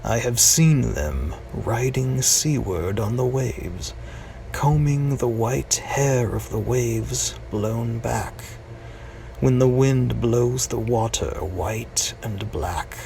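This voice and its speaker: Guy reading a poem really slowly, gravelly and expressive, bit far from the mic w faint noise. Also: mid-range voice. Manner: slow, deliberate phrasing with long pauses and hushed drops. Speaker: amateur poetry reader. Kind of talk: dramatic poetry recitation.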